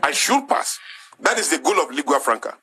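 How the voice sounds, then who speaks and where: A man's voice: on the high side, very animated, brisk, bright, forceful tone, husky. A man with a Nigerian English accent making an impassioned talk-show argument, emphatic and rhetorical, repeating his key phrases. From southwest Nigeria.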